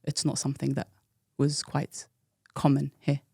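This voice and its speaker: A woman's voice: a bass voice, completely flat delivery, moderate pace, vocal fry, darkish tone, slightly rough, no room sound, studio quality. A woman in her thirties from the UK, a guest telling her life story frankly and reflectively, with frequent fillers. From United Kingdom. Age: 30s. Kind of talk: candid personal interview.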